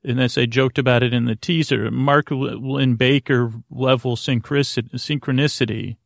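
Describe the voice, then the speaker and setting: A man hosting a bedtime podcast in a creaky voice, rambling soothingly and haltingly, often stammering and interrupting himself. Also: medium pitch, steady tone, dry recording, studio quality. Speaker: host of a bedtime sleep podcast.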